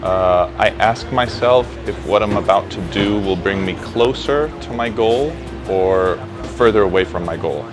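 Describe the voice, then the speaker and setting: A man in his early thirties answers questions in a casual, conversational way. His voice sits fairly low, and loud music plays behind him. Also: unhurried, hardly any pauses. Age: early 30s. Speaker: fitness influencer answering viewer questions.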